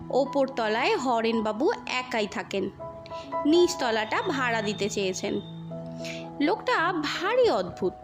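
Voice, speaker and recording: A woman narrating a scripted story in a dramatic storytelling style. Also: high voice. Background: music under the voice.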